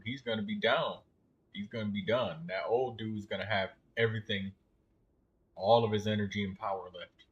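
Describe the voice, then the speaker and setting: A man's voice: fairly low pitch, fairly bright tone, highs cut off. A man giving casual, rambling live commentary as a gaming streamer, blunt and slangy.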